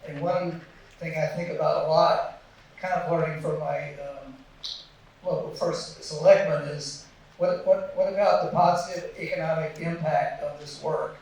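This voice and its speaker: A man's voice: on the high side, steady tone, unhurried, darkish tone, a little hiss or hum. A man in the audience thinking aloud, rambling toward his question. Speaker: audience member. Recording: echoey hall from far off.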